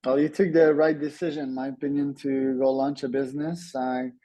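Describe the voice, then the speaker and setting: A man's voice: medium pitch, level tone, a little fast, warm tone, poor audio. A thirty-year-old man hosting a podcast, introducing the show and chatting conversationally with his guest. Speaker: podcast host.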